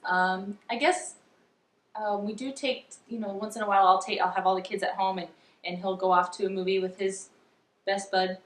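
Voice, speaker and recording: A woman's voice: medium pitch, fairly even intonation, moderate pace. Chatty woman in an echoey room. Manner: chatty, giggly. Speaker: vlogger mother. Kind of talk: casual couple Q&A chat. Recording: roomy indoor echo.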